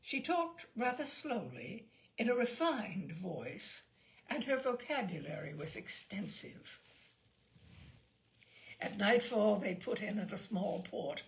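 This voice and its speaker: A woman narrating a literary short story in an expressive, theatrical and measured way. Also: mid-range voice, creaky. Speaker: audiobook reader.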